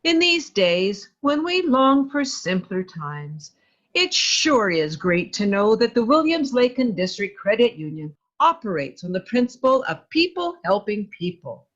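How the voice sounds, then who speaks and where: A woman's voice: on the high side, big pitch swings, unhurried, a bit bright, highs cut off, full character voice. A woman playing a folksy, gushing customer in an old-time radio testimonial. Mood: enthusiastic. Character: folksy, enthusiastic customer in vintage radio advert.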